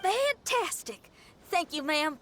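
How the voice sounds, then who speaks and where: Game casting: bold young adventurer, bright forward tone, very animated, slight background noise. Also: female voice, very high-pitched, slow pace, heavily acted. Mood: spirited. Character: upbeat, bold young female performer with adventurous spirit. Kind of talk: video game voice acting.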